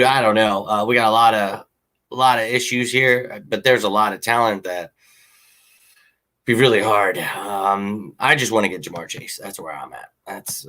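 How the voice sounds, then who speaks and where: A man hosting a casual sports fan show, rambling and opinionated with lots of ums, his voice slightly hoarse. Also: on the low side, sharp tone. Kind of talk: casual sports talk with viewer comments.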